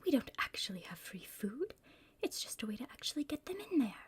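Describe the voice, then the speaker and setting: A scheming cartoon villainess played by a woman in a whispered ASMR roleplay. Her voice is higher-pitched and extremely breathy, with an impatient, commanding edge as she lays down orders like a conspirator. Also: big pitch swings, brisk, full character voice. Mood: scheming, instructing.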